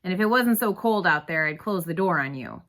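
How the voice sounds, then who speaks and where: A woman voicing a gruff, grudgingly kind male bus driver in a storybook read-aloud, with a brusque, wry, grumbling manner. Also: on the low side, animated, brisk, fairly bright tone.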